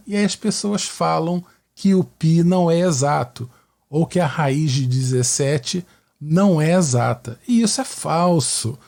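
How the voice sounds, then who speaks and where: A man with a Carioca Brazilian accent and a husky, fairly high voice talks like a teacher hosting his own show. His tone is mellow, and he explains things conversationally, often checking that the listener follows. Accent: Carioca Brazilian Portuguese. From Rio de Janeiro, Brazil. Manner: didactic, with frequent tag questions seeking agreement. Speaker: podcast host and mathematics teacher.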